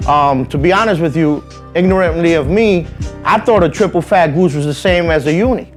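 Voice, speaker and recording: An easygoing man in his forties, from the Dominican Republic, chats as a guest on an interview show. His voice is slightly high, and he keeps filling pauses with casual asides. A faint beat runs underneath. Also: faint music behind. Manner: easygoing, many "you know what I mean" fillers.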